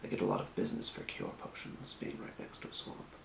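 A man playing a calm fantasy potion shopkeeper in a breathy, measured, courteous whisper for an ASMR role play. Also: echoey room, background noise, natural voice. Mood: calm, helpful. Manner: measured, murmuring, courteous merchant patter. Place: small indoor room.

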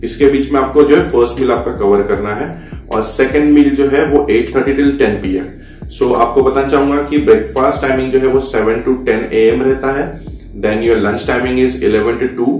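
A man's voice: medium pitch, steady tone, moderate pace, darkish tone. A young man vlogging about cruise ship jobs in casual, instructive talk, switching between Hindi and English and often checking in with "theek hai". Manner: mixes Hindi and English, repeats "ठीक है" and "आपको बताना चाहूंगा". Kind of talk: informal instructional talk to camera. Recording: roomy echo, distant mic, faint music behind.

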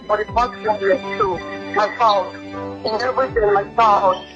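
A young woman in an emotional personal phone conversation, speaking haltingly and often repeating her words. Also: medium pitch, steady tone. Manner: halting, repetitive phrasing. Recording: band-limited leaked phone call with music. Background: music.

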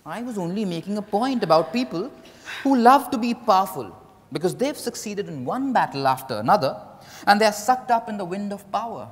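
A man's voice: sits high, very animated, brisk. An Indian-accented man playing a candid, evasive writer in a dramatized stage reading. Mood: opinionated. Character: Mriganko, candid, evasive male writer and lover. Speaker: actor. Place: large hotel ballroom stage, indoors. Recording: echoey hall stage recording.

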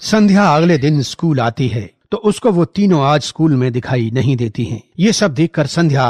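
Slightly husky male storyteller. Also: fairly high pitch, lively intonation, moderate pace, darkish tone, dry recording. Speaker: narrator. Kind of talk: scripted story narration.

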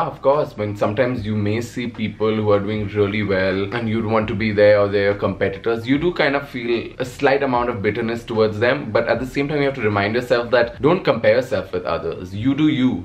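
A young man from Nepal with an English accent shaped by India, chatting expressively to camera from his bedroom. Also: on the low side. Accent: Indian-influenced English. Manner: animated, expressive, gestures a lot while talking. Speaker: vlogger. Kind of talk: casual vlog answering viewer questions. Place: bedroom at home, indoors.

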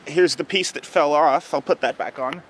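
Teen guy vlogging outside, kinda high voice, offhand chatty, noisy camera mic. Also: normal speed. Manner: offhand, informal. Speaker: host of an online video series. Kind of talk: casual vlog chat and demonstration. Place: outdoors in a snowy yard. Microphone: handheld camera at arm's length or set nearby.

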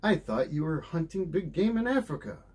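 A man acting a confident polar explorer in a storybook, emphatic and determined. The pitch is raised and very mobile, with some rasp. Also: big pitch swings, moderate pace, a little mellow. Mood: amazed. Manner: emphatic, insistent.

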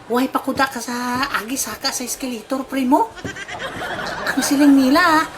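A woman's voice: high voice, normal speed, close to an everyday voice. A woman with a Western Visayan Philippine accent playing a naive, clumsy country bumpkin in a comic audio drama. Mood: naive, curious. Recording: noisy amateur audio drama recording.

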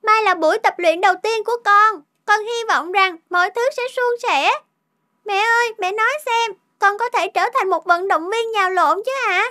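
A performer voicing an earnest, determined young girl in a cartoon dub, childlike and expressive, with music behind. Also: extremely high pitch, moderate pace. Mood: hopeful, curious. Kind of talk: cartoon dubbing.

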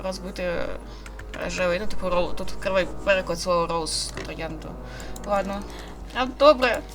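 A woman hosting a visual novel playthrough, commenting casually and haltingly as she goes. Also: fairly low pitch, steady tone, music in the background. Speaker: gaming video host. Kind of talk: visual novel let's play, reading dialogue aloud and commenting.

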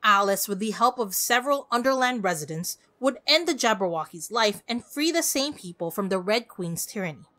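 A woman podcast host giving conversational scripted film commentary in an expressive, effusive way. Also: medium pitch, fairly quick, hardly any pauses.

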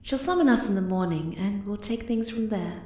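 A woman voicing a shy, flustered young moth-girl lover in an ASMR roleplay, hesitant, tender and soft-spoken. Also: medium pitch, animated, normal speed, reverberant, close to an everyday voice. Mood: gentle, comforting.

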